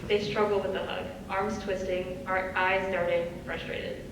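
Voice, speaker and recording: A woman's voice: medium pitch, level tone, unhurried. A woman reading scripted stage directions as a narrator in a live reading. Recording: distant echoey hall, clear background noise.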